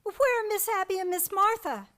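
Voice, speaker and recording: A woman's voice: very high voice, lively intonation, normal speed, fairly bright tone. An actress playing an alarmed, skeptical young woman from next door in an old-time radio play. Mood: uneasy.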